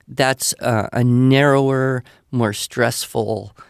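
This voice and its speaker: A man's voice: medium pitch, fairly even intonation, slow pace, gravelly, clear, resonant tone, no room sound, studio quality. An older man with an American accent giving conversational advice in a reassuring, explanatory manner. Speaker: podcast expert answering listener questions.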